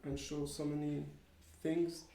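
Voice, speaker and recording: Higher-pitched young man, mellow and echoey. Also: flat delivery, measured pace, a little hiss or hum. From France. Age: young adult. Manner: rambling, tentative. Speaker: student volunteer interviewee. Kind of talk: casual interview answers. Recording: roomy echo.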